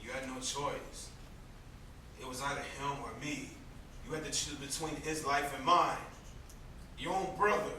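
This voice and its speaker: A man's voice: steady tone, measured pace, long pauses, natural voice. A man playing an earnest, insistent young man offering consolation in an amateur stage play. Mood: earnest, consoling. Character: earnest young man justifying a desperate killing. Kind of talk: amateur stage drama acting. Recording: very echoey distant stage recording, rumble.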